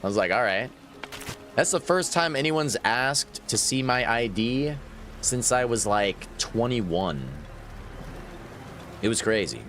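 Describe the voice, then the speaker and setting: A man's voice: mid-range voice, expressive, takes it slowly, pauses often, fairly bright tone, slight background noise. A man in his thirties chatting casually while livestreaming a game, laughing often and answering his viewers. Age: 30s. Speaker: gaming livestreamer. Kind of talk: casual live gameplay chat.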